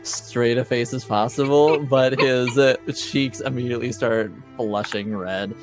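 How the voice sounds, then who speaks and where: Slow-paced game master with a mid-pitched voice. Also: fairly even intonation. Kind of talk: improvised tabletop game narration and roleplay. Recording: music playing behind the voice. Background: background music.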